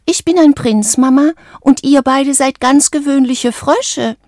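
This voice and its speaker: Woman putting on a high, squawky boastful little frog. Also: measured pace, darkish tone, dry recording, clean studio sound. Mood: puzzled, haughty. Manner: self-important, insistent. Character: boastful, stubborn young male frog convinced he is a prince.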